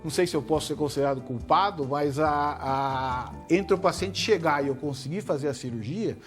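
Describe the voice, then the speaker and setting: A middle-aged Brazilian man, a surgeon guest, explaining in a measured way and often tagging his points with "né?". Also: a little high, soft background music. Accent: Brazilian Portuguese. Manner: measured, tag questions like "né?". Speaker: head and neck surgeon guest. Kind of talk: explanatory interview answers.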